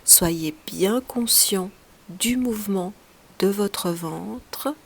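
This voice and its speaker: Slow, soothing woman guiding relaxation. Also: medium pitch, creaky, slightly rough. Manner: soothing, unhurried, instructing in short phrases with pauses. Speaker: yoga nidra teacher. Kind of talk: guided relaxation meditation.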